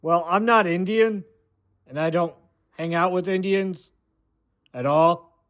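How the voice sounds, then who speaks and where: An American man giving a rambling, opinionated monologue in a blunt, dismissive way, often halting and repeating himself. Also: high voice, fairly bright tone. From United States. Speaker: video maker.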